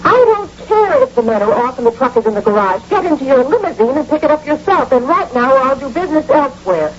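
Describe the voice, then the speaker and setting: A bossy, indignant wife with a sharp tongue. Her pitch is lively and swooping, heard through a muffled old broadcast recording with faint noise. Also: fast talker. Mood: commanding. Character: sharp-tongued, domineering wife and bank officer, quick to anger. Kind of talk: 1980 radio drama acting.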